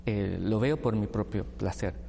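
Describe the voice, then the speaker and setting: A man from the Philippines speaks with a Filipino accent in a language that is not his mother tongue, laying out his argument with care. His voice is mellow and relaxed. The room rings with a long reverb over a faint hiss. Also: fairly low pitch, measured pace, gravelly. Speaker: panel guest. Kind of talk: discussion panel.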